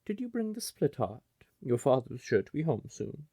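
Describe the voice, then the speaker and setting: A man voicing a fond, croaky green hag mother in a fantasy storybook. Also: medium pitch, big pitch swings, normal speed, mellow, no room sound, clean studio sound, pitched above the performer's own voice. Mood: soothing, fond. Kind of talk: fantasy storybook character acting.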